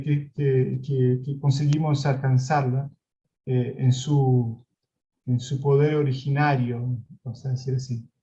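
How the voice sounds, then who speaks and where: A man talking slowly and thoughtfully, pausing often and restarting his phrases as he works through an idea. His voice is mellow and somewhat dark. The sound is dull and lo-fi, as on a video call. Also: mid-range voice. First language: Spanish. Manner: hesitant, many pauses and restarts. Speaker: interviewed professor. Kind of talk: reflective academic conversation.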